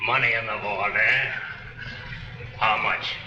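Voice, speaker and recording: An actor playing a bitter, sardonic ex-convict burglar in a 1930s crime film, menacing and taunting in tough slang. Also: male voice, fairly low pitch, steady tone, slow pace, dull audio. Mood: suspicious, scornful. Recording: noisy, echoey 1930s film soundtrack.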